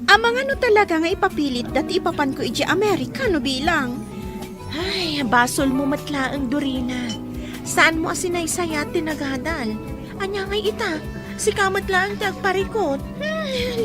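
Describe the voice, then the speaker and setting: A woman's voice: very high voice, expressive, moderate pace. A woman playing a troubled teenage schoolgirl who broods over her problems in an audio drama. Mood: brooding. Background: music.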